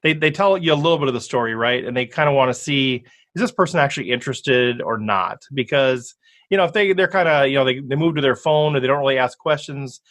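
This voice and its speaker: A man's voice: mid-range voice, quick pace, a bit bright. A man hosting a podcast interview, chatty and upbeat, laughing easily as he talks. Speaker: podcast host. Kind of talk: casual interview chat.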